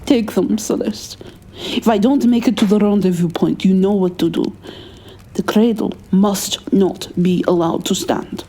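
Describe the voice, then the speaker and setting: A woman playing an elegant, passionate vampire noblewoman in an ASMR roleplay, with a formal, earnest and deliberate delivery. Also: mid-range voice, warm tone. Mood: urgent, resolute.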